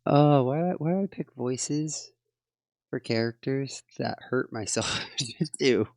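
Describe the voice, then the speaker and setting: Hoarse, gravelly man playing a game. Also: mid-range voice, slow pace, darkish tone, no room sound, clean studio sound. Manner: casual, thinking aloud while tallying numbers. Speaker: game player. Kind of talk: let's play commentary.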